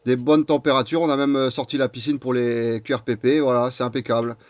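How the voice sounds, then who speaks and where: A man's voice: mid-range voice, level tone, brisk, darkish tone, no room sound. A man chatting casually and colloquially as an amateur radio operator into a close microphone. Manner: informal, colloquial.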